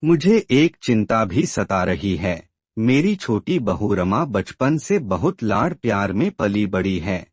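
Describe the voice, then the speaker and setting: A man playing an ailing, gentle old father in a cartoon moral story, earnest and emotive. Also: fairly low pitch, sing-song, takes it slowly, no echo, heavily acted. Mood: worried.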